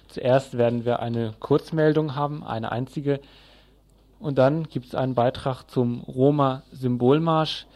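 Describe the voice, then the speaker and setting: A man's voice: medium pitch, steady tone, unhurried, darkish tone. A man hosting a community radio news show, giving a semi-scripted live rundown of the programme.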